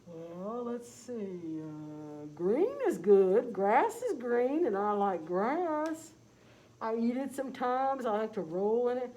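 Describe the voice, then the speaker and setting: A woman voicing a friendly male farm dog with a booming, blunt way of talking in a storybook reading. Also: expressive, takes it slowly, mellow, rough recording.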